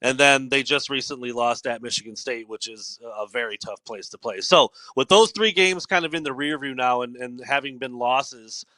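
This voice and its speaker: A man's voice: mid-range voice, moderate pace, few pauses, sharp tone, no echo, poor audio. A man of forty hosting a casual sports podcast, talking loosely with fillers and restarts. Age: 40s. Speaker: podcast host.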